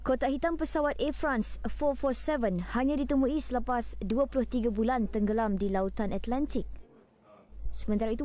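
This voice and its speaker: A woman's voice: on the high side, dark tone. A woman with a Malaysian accent reading scripted news narration.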